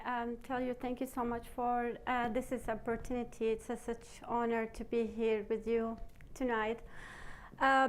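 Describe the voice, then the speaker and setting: A woman with a Syrian Arabic accent speaks in a high voice, slowly and hesitantly. She pauses often on "uh" and "um" as she tells an audience about her own life, with her first language showing in her English. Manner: hesitant, halting English with many fillers. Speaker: panelist. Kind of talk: personal testimony to a live audience.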